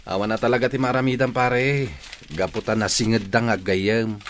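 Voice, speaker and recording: A man's voice: medium pitch, fairly even intonation, moderate pace, slight background noise, close to an everyday voice. A man playing a chatty, hospitable village buddy in an Ilocano comedy radio drama, with an Ilocano accent. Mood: gossipy, concerned.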